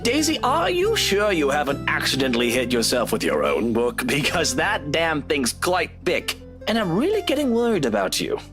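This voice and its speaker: A man's voice: fairly high pitch, huge pitch swings, moderate pace, music in the background. Cocky man, swooping delivery. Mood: confused, mocking. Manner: cocky, dry sarcasm. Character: vain, sardonic man hiding self-loathing behind bravado. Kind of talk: dramatic visual novel voice acting.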